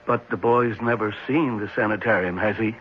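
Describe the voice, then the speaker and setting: A man's voice: fairly low pitch, steady tone, normal speed, natural voice. A man playing an assured, inquiring sanitarium doctor in a 1970s radio drama. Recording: dull, slightly noisy 1970s radio recording.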